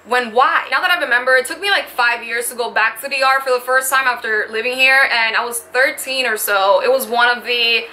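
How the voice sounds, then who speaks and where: A woman's voice: a little high, fairly quick, hardly any pauses, sharp tone. A woman in her twenties from the Dominican Republic, a native Spanish speaker, talking earnestly and reflectively to camera in a personal vlog from her bedroom. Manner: earnest, reflective, frequent "like" fillers, gestures with hands. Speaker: vlogger. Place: small decorated bedroom indoors.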